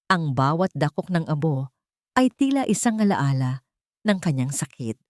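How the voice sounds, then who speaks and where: A woman's voice: low-pitched, very animated, moderate pace, darkish tone, dry recording, clean studio sound. A woman reading a scripted, dramatic story as its narrator. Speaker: storyteller, narrator of love stories.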